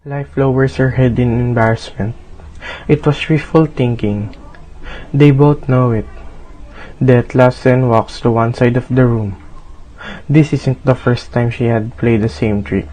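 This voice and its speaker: A man's voice: medium pitch, steady tone, measured pace, dark tone, poor audio. A man narrating a radio drama as a scripted storyteller.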